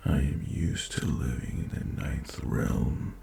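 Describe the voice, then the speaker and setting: A man voicing an ancient, haughty and seductive male dragon in a fantasy romance audio roleplay, speaking formally in a breathy, gravelly murmur with teasing condescension. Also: rumbling low, slow, dark tone, full character voice. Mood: pensive, intimate.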